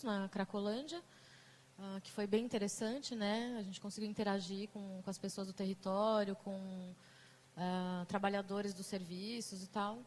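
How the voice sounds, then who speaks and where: A woman's voice: mid-range voice, darkish tone. A woman with a Brazilian Portuguese accent leading a group conversation, chatty, with many fillers and restarts. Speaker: mediator. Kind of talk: casual talk to a group.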